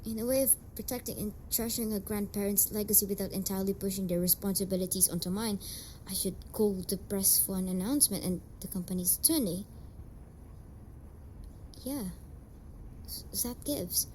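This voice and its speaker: Casting: young tsundere delinquent heiress played by a woman, emotional and halting, intimate audio drama monologue, audible noise behind. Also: mid-range voice, fairly even intonation, a little mellow, lightly acted, low-quality recording. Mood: relieved, resolving. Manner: emotional, halting, swears freely.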